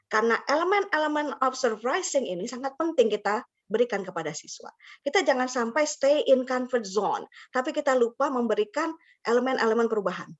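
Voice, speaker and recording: Woman presenter, bubbly workshop leader, slightly high voice, lo-fi video-call sound. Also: animated, brisk. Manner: chatty, mixes English words, laughs often. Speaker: webinar presenter for teachers. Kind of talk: lively online teacher-training talk. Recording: slightly dull lo-fi call audio.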